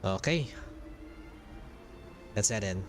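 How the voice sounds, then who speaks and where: A man's voice: fairly low pitch, lively intonation, takes it slowly. A man giving casual game playthrough commentary as a streamer, rambling as he thinks aloud. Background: game music.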